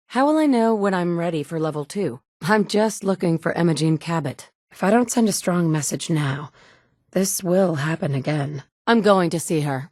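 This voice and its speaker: A woman's voice: lowish voice, a little mellow, no echo, clean studio sound. An actress voicing a tough, blunt woman who survives the wasteland and leads others, delivering short game lines in a terse, firm way. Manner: terse and firm, often curt. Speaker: player character. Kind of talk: video game dialogue lines. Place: studio booth.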